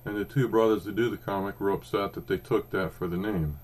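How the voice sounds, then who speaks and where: A man's voice: lowish voice, steady tone, a little fast, a little mellow. A man in his fifties with an Oklahoma accent, chatting casually as a podcast co-host, rambling with ums and restarts. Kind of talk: casual chat about comics.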